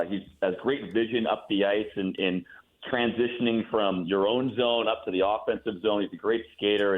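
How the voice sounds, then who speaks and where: An American man from the Midwest, a play-by-play announcer giving a casual sports interview with animated, joking phrasing. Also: lowish voice. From Midwestern United States. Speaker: radio play-by-play announcer, guest. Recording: thin phone-like line.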